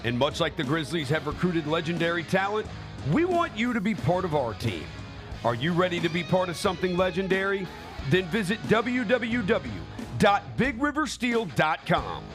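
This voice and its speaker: Male ad announcer, high lively voice, music bed under the read. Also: moderate pace. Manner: punchy promotional read. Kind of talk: radio ad read.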